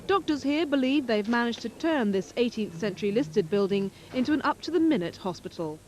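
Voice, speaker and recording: A British woman narrates a television news report from a script. Her voice is pitched fairly high. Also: animated, a little fast, a little hiss or hum.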